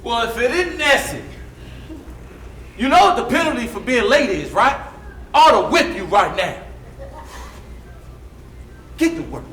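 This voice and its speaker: A man's voice: very high-pitched, very animated, unhurried, pauses often, a bit bright, heavily acted. A man playing a harsh, superior enslaved overseer in a stage play, bullying and commanding in Southern plantation dialect. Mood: menacing. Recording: echoey stage from far off, faint noise.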